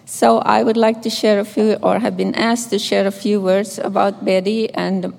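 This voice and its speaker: An older woman from Finland with a Finnish accent, a nun reading scripture and giving a gentle, measured memorial tribute. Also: mid-range voice, flat delivery, vocal fry. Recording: echoey hall reverb.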